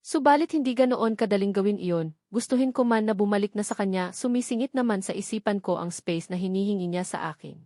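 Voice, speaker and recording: A woman's voice: medium pitch, brisk, hardly any pauses, mellow, no echo, clean studio sound. A woman reading a novel aloud as scripted first-person narration. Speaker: storyteller.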